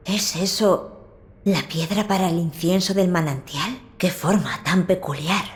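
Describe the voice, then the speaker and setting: A woman playing a gentle, resolute young noble boy in a dubbed video game, speaking softly in a measured, formal way. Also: fairly low pitch, lightly acted. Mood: curious, thoughtful.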